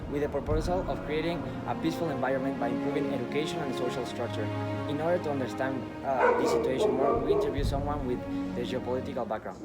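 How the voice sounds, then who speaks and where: Man, scripted voice-over, slightly halting delivery, medium pitch. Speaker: narrator. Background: loud music.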